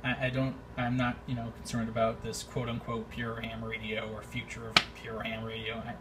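A man giving his opinions in an informal vlog, thinking aloud in a hesitant, halting way. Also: medium pitch, monotone. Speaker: amateur radio video maker. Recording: distant mic, faint background noise.

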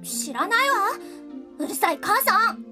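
A woman's voice: extremely high pitch, sing-song, a little slow, over music, exaggerated voice. An actress playing a vain, cruel and haughty young girl in a radio drama. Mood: dismissive.

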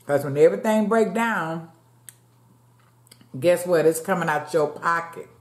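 A woman's voice: lowish voice, a little slow, echoey room. A woman in her late fifties chatting casually with viewers while eating, talking to them as y'all. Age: late 50s. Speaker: mukbang vlogger. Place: dim room at home, seated at a table. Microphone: fixed camera across the table.

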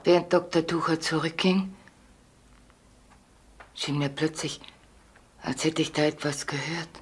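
An actress playing an agitated, protective housekeeper who pleads and confides in a German crime radio play. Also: female voice, low voice, fairly even intonation, unhurried. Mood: subdued, recounting. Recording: noisy old radio play recording.

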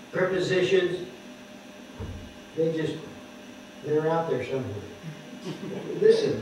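An older man preaching at a lectern, explaining scripture word by word and stressing key words loudly. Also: high voice, distant mic, a little hiss or hum. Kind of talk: sermon teaching scripture. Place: wood-paneled church hall, speaking at a lectern. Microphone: microphone on the lectern, heard from a distant camera. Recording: echoey hall heard from afar, dull.

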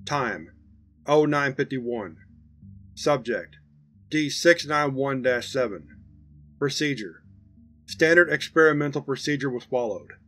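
A man reading a formal case file as scripted narration in a measured, official manner. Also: mid-range voice, fairly bright tone.

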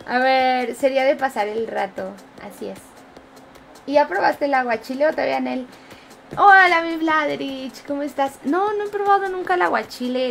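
A woman's voice: on the high side, creaky, a little hiss or hum. A woman in her twenties with a Mexico City accent, chatting casually with viewers during a live game stream on a headset. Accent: Mexico City Spanish. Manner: chatty, reads and answers viewer comments while gaming. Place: home streaming room, indoors.

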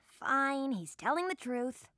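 A stern teenage magical warrior for a cartoon. She sounds skeptical and fierce, very high and bright, with lively swings in intonation. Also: slow pace, no room sound, studio quality, full character voice. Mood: grudging. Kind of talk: animated series acting.

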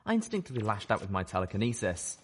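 Very deep male audiobook narrator. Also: sing-song, rapid-fire, slight background noise. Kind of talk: dramatic scripted narration.

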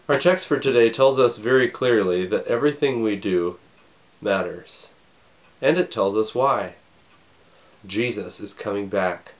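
Scratchy-voiced pastor preaching from home. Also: male voice, fairly low pitch. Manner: earnest, measured preaching. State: scratchy voice with a cough. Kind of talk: recorded home sermon. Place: home study with bookshelves, indoors.

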